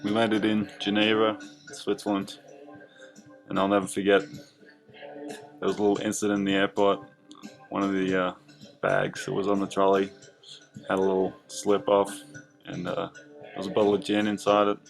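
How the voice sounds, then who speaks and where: A young Australian man casually telling the story of his childhood, rambling with lots of fillers over soft background music. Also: sits low, completely flat delivery, normal speed, gravelly, long pauses, poor audio. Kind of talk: casual narration over drawings.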